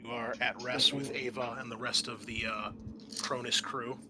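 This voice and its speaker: A man's voice: mid-range voice, fairly even intonation, moderate pace, music in the background. A man hosting as game master, narrating a tabletop roleplaying game in a casual, often profane manner.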